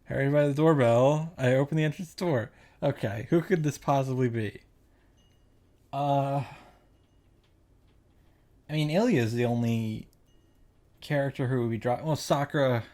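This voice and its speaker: A man's voice: medium pitch, normal speed, long pauses, a little mellow. A man giving a casual recap and reaction commentary, with exasperated asides, as host of a visual novel playthrough. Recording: music behind the voice. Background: game music.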